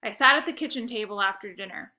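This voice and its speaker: Bright-voiced woman reading a children's story. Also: medium pitch, quick pace. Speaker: read-aloud narrator. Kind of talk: scripted children's storybook narration.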